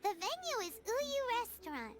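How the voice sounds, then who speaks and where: Tiny excitable fairy sidekick, childlike squeak, wildly animated delivery, anime game. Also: female voice, normal speed, heavy background noise, exaggerated voice. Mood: cheerful, curious. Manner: refers to self in third person, exclaiming. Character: Paimon, tiny childlike girl fairy companion, excitable, indignant. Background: music.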